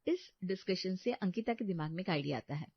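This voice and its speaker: A woman reads scripted storybook narration in a steady, even manner. The audio is dull and lo-fi, and it sounds dry and close. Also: on the low side, brisk, husky, dry recording.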